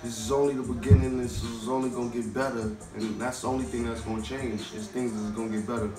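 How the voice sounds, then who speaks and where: A man's voice: mid-range voice, little pitch movement, fast talker, echoey room, far from the mic, music in the background. A man from Queens with a New York accent, talking loosely in street slang as a rapper interview guest. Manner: laid-back street slang, loose and rambling. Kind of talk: casual interview.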